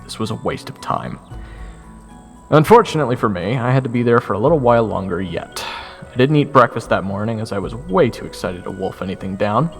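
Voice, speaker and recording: Gravelly, sardonic young male narrator. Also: on the low side, a little fast, in a large hall, soft background music. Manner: sardonic, expressive. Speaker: narrator and lead voice actor. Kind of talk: first-person audio drama narration.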